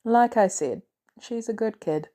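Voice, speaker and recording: Female reader as wisecracking male tech hero in a story, smitten, flippant, relaxed timbre. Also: a little high, a little slow. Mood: casual. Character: witty, lovesick male tech billionaire hero. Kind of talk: storybook character dialogue.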